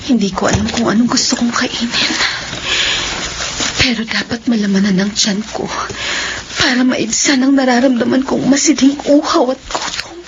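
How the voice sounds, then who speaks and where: A young Filipino woman acting in a horror radio drama as an anguished, cursed daughter tormented by hunger, speaking in a slow, halting, dramatic way. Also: a little high, steady tone, close to an everyday voice. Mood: troubled, secretive. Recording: dull lo-fi radio audio, clear background noise.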